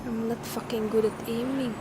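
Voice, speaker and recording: A woman's voice: on the high side, flat delivery, normal speed, over loud music. A woman commenting casually while playing a game, muttering and swearing often. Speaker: gamer.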